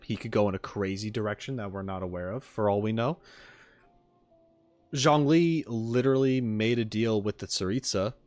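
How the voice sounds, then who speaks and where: A man with a fairly low voice chats casually, like a streamer with his audience. He rambles and circles back over his words. The sound is close and dry, with soft music behind him. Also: no room sound. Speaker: streamer reacting and chatting with viewers. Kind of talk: casual livestream chat. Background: music in the background.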